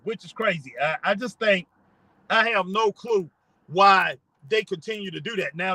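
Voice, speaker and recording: Live-stream host, male, rambling and conversational, talking into a phone in his car, sharp tone. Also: high-pitched, dry recording, poor audio. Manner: rambling, chatty, reads viewer comments aloud. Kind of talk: casual live-stream chat.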